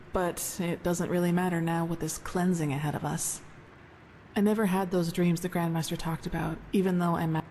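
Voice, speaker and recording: Woman playing an earnest, mellow-voiced fantasy novice. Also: fairly low pitch, slight background noise, close to an everyday voice, poor audio. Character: earnest, thoughtful female novice of a holy order. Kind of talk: conversational fantasy game dialogue.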